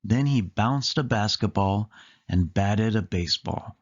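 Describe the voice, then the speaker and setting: A man's voice: fairly low pitch, measured pace, dull audio. A man narrating a children's picture book aloud, leaning emphatically on key words. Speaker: storybook reader.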